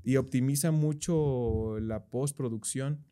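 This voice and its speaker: Young mexican guy, super dark mellow voice, loose slangy chat. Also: medium pitch, slow pace, clean tone. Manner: slangy, relaxed, rambling with restarts. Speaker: guest, behind-the-scenes camera operator. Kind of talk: casual interview chat.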